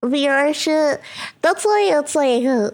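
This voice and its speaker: A woman's voice: high-pitched, expressive, takes it slowly. A young woman streaming as a VTuber, giggling and reading stories aloud between crude riffs. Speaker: VTuber reacting to a video. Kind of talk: casual reaction commentary, reading posts aloud.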